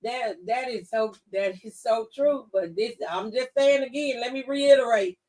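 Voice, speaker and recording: A woman's voice: fairly high pitch, normal speed, dark tone, recorded from a distance, poor audio. A woman hosting a talk show, speaking conversationally and earnestly and repeating phrases for emphasis.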